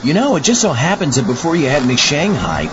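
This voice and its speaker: An eager, gullible zombie astronaut host, acted by a man, delivers scripted comic horror patter with very lively intonation. The audio is dull, with clear background noise. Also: on the high side, brisk. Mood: showmanlike.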